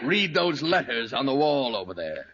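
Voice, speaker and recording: A hissy, dull old radio recording with studio audience features an actor as a put-upon government examiner. His delivery is wry and sarcastic, with big swings of pitch as his patience wears thin. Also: normal speed, a loud crowd, natural voice. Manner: deadpan, wry, increasingly exasperated. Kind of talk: 1940s-50s radio sitcom acting.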